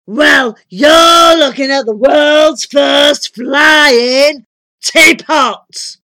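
Woman acting out huge hearty male wizard, storybook giant, booming, exclamatory, forceful and bright. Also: drawn-out words, no room sound, full character voice. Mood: proud, hearty. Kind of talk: children's storybook character voice.

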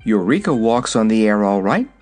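A man's voice: lowish voice, sing-song, normal speed, a little mellow. A man voicing an earnest, brave, wide-eyed little girl in a storybook reading. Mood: reasoning. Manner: earnest, exclaiming.

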